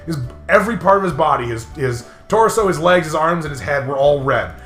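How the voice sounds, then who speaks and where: A man with a fairly high voice rambles casually about video games, leaning on repetition and swearing as he gets exasperated. The sound is roomy and echoing, with some music playing behind him. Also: animated, a little fast, fairly bright tone. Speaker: host of a gaming commentary video. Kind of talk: casual banter and rambling gaming stories.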